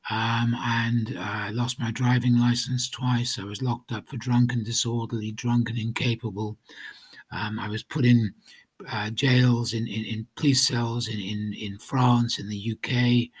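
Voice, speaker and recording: Welsh-raised man, husky, mid pitch, halting personal testimony. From Wales. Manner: hesitant, many ums and restarts. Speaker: guest telling his recovery story.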